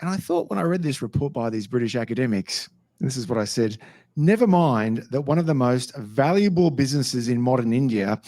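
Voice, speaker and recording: A man's voice: medium pitch, mellow, no echo. A man giving a lecture and answering audience questions with a dry, ironic delivery.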